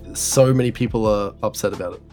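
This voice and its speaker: A man's voice: on the low side, fast talker, a little mellow, faint music behind. A young man co-hosting a casual podcast chat, joking and laughing easily, often restarting his sentences. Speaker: podcast co-host.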